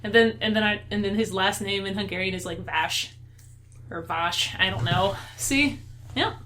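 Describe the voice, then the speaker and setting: A woman's voice: medium pitch, moderate pace, fairly bright tone. US woman, podcast co-host, chatty and self-deprecating, faint noise. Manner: rambling, laughs often, self-deprecating. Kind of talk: casual chat.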